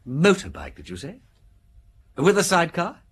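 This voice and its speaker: An actor playing a flippant aristocratic amateur detective in a radio drama, with airy, clipped upper-class English speech. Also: male voice, fairly high pitch, wildly swooping pitch, normal speed, a bit bright, husky, exaggerated voice. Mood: courteous, brisk. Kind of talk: British radio drama acting.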